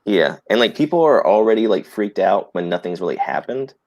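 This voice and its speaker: A man with a low voice talks casually about movies as a live stream co-host. The sound is dry, with very little room around him. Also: sing-song, brisk, darkish tone, dry recording. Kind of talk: casual chat about films.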